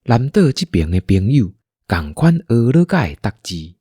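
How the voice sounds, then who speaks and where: A man's voice: medium pitch, big pitch swings, normal speed, warm tone, husky, dry recording, studio quality. A man with a Taiwanese accent narrating a scripted radio drama as a storyteller.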